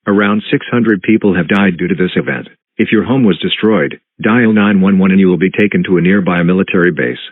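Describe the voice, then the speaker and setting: A man playing a solemn, official emergency alert announcer, reading formal, measured scripted notices. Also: low-pitched, dry recording. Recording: muffled lo-fi broadcast audio.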